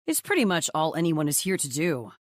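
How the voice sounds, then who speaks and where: A woman's voice: sits low, sing-song, a little fast, no room sound. A woman voicing an easygoing male office colleague in a romance audiobook, with a dry, knowing manner. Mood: advising.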